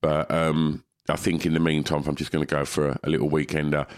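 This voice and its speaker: A man's voice: a bass voice, level tone, fast talker. A man, chatting on a podcast, a bit congested from a cold, rambling and swearing. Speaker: podcast co-host. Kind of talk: casual chat.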